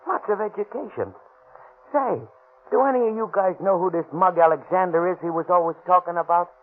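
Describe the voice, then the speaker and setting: A man's voice: sits high, brisk, natural voice. An actor playing a nervous convict sidekick who mostly agrees with his boss, in 1930s radio acting. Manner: anxious, yes-man replies. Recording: tinny scratchy 1930s radio recording, loud hiss.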